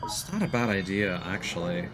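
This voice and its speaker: A man's voice: sits low, sing-song, normal speed, noisy background. A man doing casual live gameplay commentary, thinking aloud and chatting to his viewers as he plays. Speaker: game streamer. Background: video game music.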